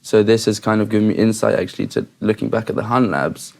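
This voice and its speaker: Fast-talking gravelly young man from England. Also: lowish voice, fairly even intonation, darkish tone. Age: young adult. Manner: rambling, hesitant restarts, lots of "um" and "like". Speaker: student guest. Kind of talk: casual chat.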